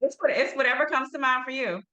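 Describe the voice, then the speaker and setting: A woman's voice: high voice, fast talker, dull audio. Affirming woman podcast host. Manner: affirming, soft back-channel responses. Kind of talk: interview hosting.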